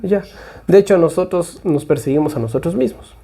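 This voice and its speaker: A man's voice: a little high, brisk, mellow, slightly rough. A man from Ecuador giving an informal Bible prophecy talk, rambling and conversational with frequent asides.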